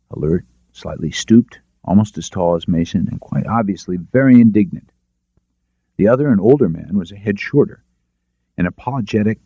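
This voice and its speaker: A man narrates detective fiction in a low, very mellow voice. The recording is dry, with a slightly muffled tape sound. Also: dry recording. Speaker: audiobook narrator. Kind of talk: scripted narration of a detective novel.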